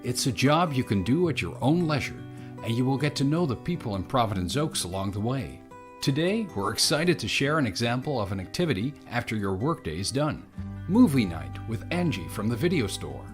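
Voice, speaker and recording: Male developer, mid pitch, highly varied intonation, scripted showcase presentation. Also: big pitch swings, brisk, faint music behind. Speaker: game developer.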